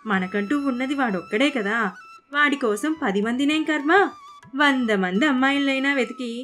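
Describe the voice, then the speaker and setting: Fussy middle-aged cartoon mother played by a woman, scolding, high voice, over music. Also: moderate pace, fairly bright tone. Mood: exasperated, protective. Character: fussy, doting middle-aged Indian mother, easily exasperated. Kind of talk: moral story cartoon voice acting. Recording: constant background music.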